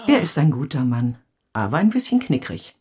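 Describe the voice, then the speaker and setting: A woman's voice: a bass voice, big pitch swings, normal speed, very noisy. An actress playing a doting, motherly old nursemaid, effusive and homely, in a radio drama. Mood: fond, confiding.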